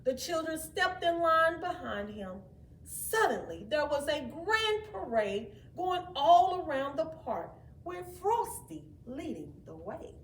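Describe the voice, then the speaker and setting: A woman reading a children's story aloud in an expressive, deliberate way. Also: high voice. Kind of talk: children's read-aloud narration. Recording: echoey room, distant mic, faint noise.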